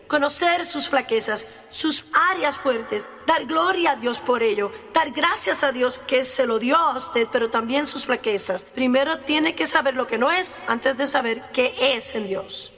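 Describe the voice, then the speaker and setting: Female preacher, high-voiced, emphatic and self-deprecating, delivering a sermon in a reverberant hall. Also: a bit bright.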